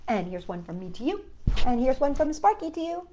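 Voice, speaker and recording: A woman's voice: high voice, very animated, fairly quick, warm tone, a little hiss or hum. A woman hosting a storytime for young children, talking in a sing-song way as she chats and reads to her little audience. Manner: sing-song, addresses children and a puppet or pet companion. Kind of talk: warm-up chat and picture-book reading for young children.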